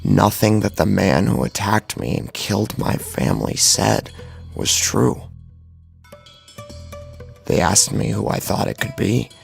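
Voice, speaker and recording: A man acting an anguished, guilt-ridden victim narrating his own horror story in a gravelly, husky, halting voice. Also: fairly low pitch, big pitch swings, soft background music, exaggerated voice. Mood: grim, bewildered.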